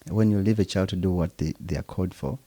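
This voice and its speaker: A man's voice: low-pitched, quick pace, warm tone, no room sound. A Ugandan man whose first language is Luganda, a percussionist chatting in an interview, chuckling and making preacherly asides. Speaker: percussionist guest. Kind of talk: relaxed interview conversation.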